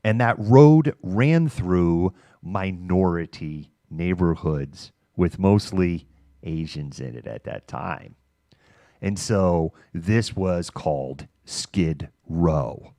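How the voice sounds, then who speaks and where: A middle-aged American man hosting a livestream, talking casually and candidly in a halting, informal monologue. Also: deep voice, expressive, mellow, dry recording, studio quality. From Massachusetts, United States. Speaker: livestream news host. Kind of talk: casual monologue of personal reminiscence.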